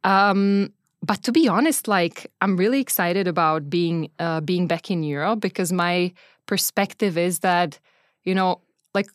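Smooth lower-pitched woman in conversation. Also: unhurried, dry recording, studio quality. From Czech Republic. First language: Czech. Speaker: interview guest, venture capital investor. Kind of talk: casual conversational interview.

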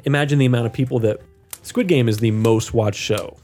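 A man's voice: medium pitch, a little fast, darkish tone, faint music behind. A man co-hosting a podcast, chatting casually and emphatically with strong opinions about screen entertainment. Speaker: podcast co-host. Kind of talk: casual film and TV chat.

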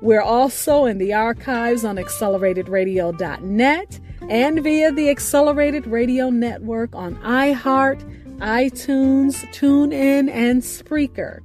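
A woman hosting an internet radio show, reading a scripted introduction in an earnest, grateful and measured way. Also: a little high, a little mellow. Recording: faint music bed behind voice.

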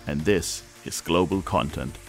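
A man's voice: very deep voice, measured pace, creaky, faint music behind. Casual middle-aged male interview host. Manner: hesitant, restarts questions with fillers. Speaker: podcast host.

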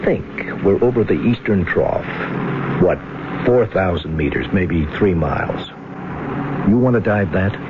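An actor playing a plainspoken, sceptical salvage diver sidekick in an old-time radio drama, with a casual, blunt delivery. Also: male voice, low voice, animated, a little slow. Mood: doubting. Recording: noisy, tinny old radio broadcast.

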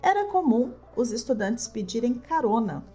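A woman Portuguese teacher hosting an episode for learners, speaking slowly and clearly with a warm enthusiasm. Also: a little high, big pitch swings, soft background music. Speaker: Portuguese teacher and podcast host. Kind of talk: scripted narration for learners.

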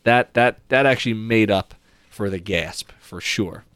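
A man's voice: on the low side, a little slow, fairly bright tone, clear, resonant tone, no echo. A man hosting a podcast, casually recapping a book with read-aloud passages and opinionated, conversational asides. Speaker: podcast host.

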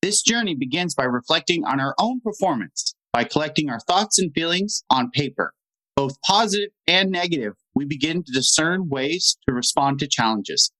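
A man speaking as the host of a podcast, addressing his listeners in clear, organised phrases. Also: on the high side, animated, moderate pace, sharp tone, poor audio. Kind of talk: conversational podcast hosting.

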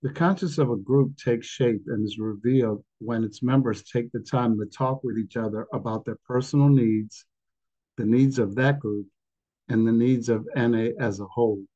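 A man with a mellow, somewhat strained voice, since he is losing it, reads a text aloud steadily. The sound is dull and muffled, as on a video call. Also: mid-range voice, normal speed. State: losing his voice. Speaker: meeting participant reading aloud. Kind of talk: reading a passage aloud, then sharing.

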